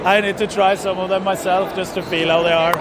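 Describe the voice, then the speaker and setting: A man's voice: high voice, level tone, a little fast, very noisy. A man in his thirties with a Norwegian accent, chatting and joking as he livestreams from a fitness expo. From Norway. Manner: chatty, joking, asks questions. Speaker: streamer. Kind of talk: casual livestream chat. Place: busy indoor fitness expo hall. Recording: loud crowded trade-fair hall. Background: loud crowd chatter.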